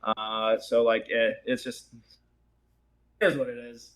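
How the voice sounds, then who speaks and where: A man's voice: mid-range voice, level tone, slow, fairly bright tone, clean tone. A man from the Boston area whose first language is Portuguese, chatting casually as an interview guest, rambling with lots of fillers and laughs. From Boston area, USA.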